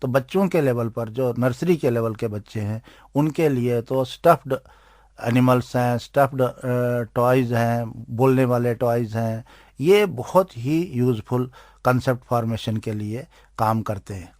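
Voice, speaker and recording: Indian guy, medium pitch, explains stuff in careful halting chunks like an interviewed expert, no room echo. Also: darkish tone, clean studio sound. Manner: deliberate, halting phrasing. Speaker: interviewed expert on aids for blind people.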